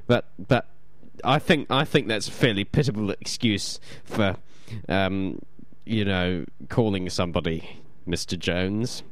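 A man's voice: low-pitched, a little slow, hardly any pauses. Rambling New radio host man. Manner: rambling, self-deprecating, stumbles and repeats words. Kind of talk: casual radio chat and reading aloud.

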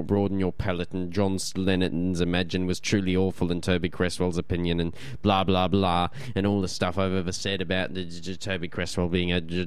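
A man's voice: low-pitched, smooth. A man from New hosting a community radio show, chatting casually, rambling and reading notes aloud, often stumbling and repeating words.

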